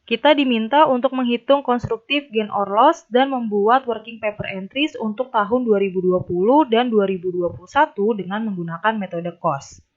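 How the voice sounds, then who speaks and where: A woman's voice: fairly high pitch, moderate pace. A young adult woman giving methodical, step-by-step instructional narration as a tutor. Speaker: accounting tutor. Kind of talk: instructional narration of a worked problem. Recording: dull, lo-fi voice recording.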